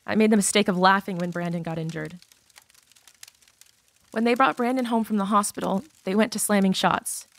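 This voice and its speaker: A woman's voice: medium pitch, normal speed, long pauses, natural voice. Woman acting as a wounded, confessional teenage girl. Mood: pained recounting. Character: abused teenage girl confessing her painful past. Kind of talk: dramatized audiobook acting.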